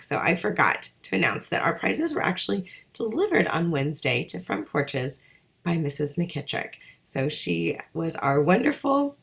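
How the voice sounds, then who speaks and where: Hoarse woman giving school announcements. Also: mid-range voice. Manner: chatty, upbeat, rambling.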